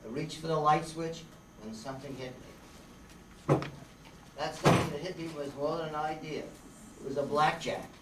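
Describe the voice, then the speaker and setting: A noisy, distant recording in a roomy space carries a hard-boiled radio private eye played by a man. He is brash and sardonic, full of slangy wisecracks, with a slightly high voice. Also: normal speed, pauses often, lightly acted. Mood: wry narrating. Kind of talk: old-time radio detective narration and dialogue.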